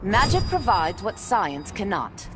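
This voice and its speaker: A woman's voice: medium pitch, sing-song, unhurried, in a large hall, poor audio. A woman playing a proud, scornful Amazon warrior in a video game, stately and severe. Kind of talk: video game character dialogue. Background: loud music.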